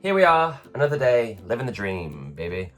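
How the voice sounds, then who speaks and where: A man in his thirties from England, telling self-deprecating stories in a casual morning vlog, his voice low and worn after a late night. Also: big pitch swings, normal speed, fairly bright tone, faint music behind. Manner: chatty, self-deprecating, acts out others' lines. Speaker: vlogger, performer. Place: at home.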